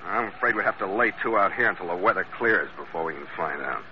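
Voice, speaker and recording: An actor playing a tough, principled American sea captain who also narrates a radio adventure, in a terse hard-boiled style. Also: male voice, sits low, animated, fast talker. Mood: grim. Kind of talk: old-time radio adventure drama acting and narration. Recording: noisy, muffled old radio recording.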